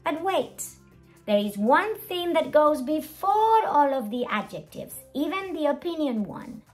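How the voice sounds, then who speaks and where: A middle-aged woman presenting an English grammar lesson as a teacher, expressive and emphatic, with deliberate enunciation and rhetorical questions. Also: high voice, soft background music. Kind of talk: animated scripted grammar lesson. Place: small indoor room at a whiteboard.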